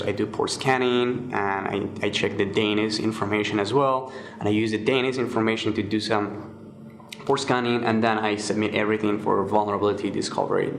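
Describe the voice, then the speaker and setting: A man in his twenties from Morocco, answering interview questions in a relaxed way with many fillers and restarts. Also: on the low side, normal speed, creaky. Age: 20s. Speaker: guest, security researcher.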